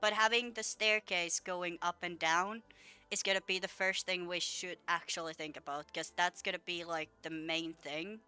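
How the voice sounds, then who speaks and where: A woman's voice: fairly low pitch, fairly even intonation, normal speed, pushed and bright, clear, resonant tone, faint music behind. A young woman who is a little sick, casually chatting and rambling with lots of fillers while building in a game. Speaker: gaming video creator. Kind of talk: casual gameplay commentary.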